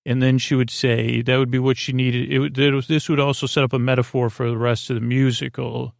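A man hosting a sleep podcast, rambling in a whimsical, hesitant bedtime monologue with stammered false starts and a creaky, gravelly voice. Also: mid-range voice, level tone, a little fast, no echo, clean studio sound.

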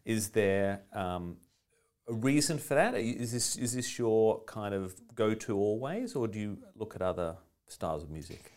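A man's voice: on the low side, lively intonation, a little slow, darkish tone. A man hosting a casual conversational interview, speaking in short, encouraging prompts. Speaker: podcast interviewer.

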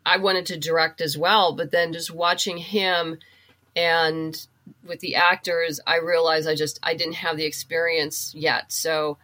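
A woman's voice: deep voice, level tone, unhurried, pushed and bright. A woman from the United States talking as a guest in a casual, conversational interview. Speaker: interview guest, writer and producer.

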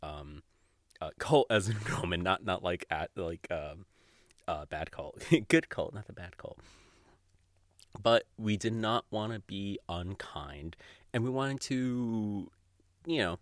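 A man hosting a podcast solo in casual, rambling talk, halting and self-conscious, prone to giggling. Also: sits low, expressive, no echo, studio quality. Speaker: podcast host.

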